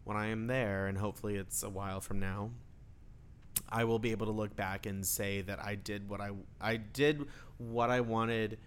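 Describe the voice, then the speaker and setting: A man's voice: on the low side, flat delivery, normal speed, a little hiss or hum. A man in his thirties talking casually as a guest, joking and restarting his sentences. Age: 30s. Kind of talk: casual conversation.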